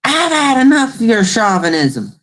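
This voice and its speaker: A man puts on a timid, easily offended farm wife in a comic radio play. The voice is pushed up high, plaintive and exaggeratedly ladylike. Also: very animated, moderate pace, fairly bright tone, heavily acted. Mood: indignant. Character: timid, indignant farmer's wife, put-on lady voice. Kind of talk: mock old radio play acting.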